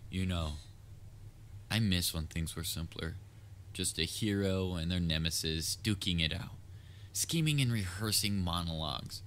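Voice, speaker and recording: A very low-voiced, theatrical supervillain played by a man with a crisp, forceful edge. He is pompous and dryly sarcastic, a grand nemesis from a comedic audio roleplay who secretly cares about his rival. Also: unhurried, a little hiss or hum. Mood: wry, chatty. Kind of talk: scripted audio roleplay, comedic villain monologue.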